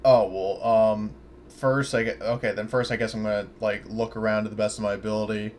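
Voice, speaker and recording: A man's voice: on the low side, flat delivery, a little fast, darkish tone, a little hiss or hum. A man playing a tabletop roleplaying game, chatting casually and thinking aloud as he describes his character's actions.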